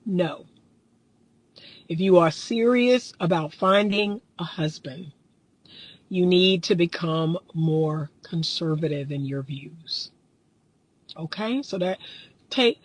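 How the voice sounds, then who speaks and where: A woman's voice: mid-range voice, no echo, low-quality recording. Blunt, preachy woman coach in her thirties. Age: 30s. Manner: blunt, preachy, laughs often, slangy. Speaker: relationship coach. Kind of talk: casual live commentary reading comments. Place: in a car.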